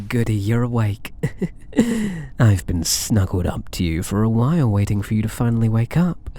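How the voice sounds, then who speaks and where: A man's voice: fairly low pitch, mellow. Man playing a coy, swooping British catboy thief. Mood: doting, possessive. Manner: coy, playful, mock-innocent purring taunts. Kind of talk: ASMR roleplay acting.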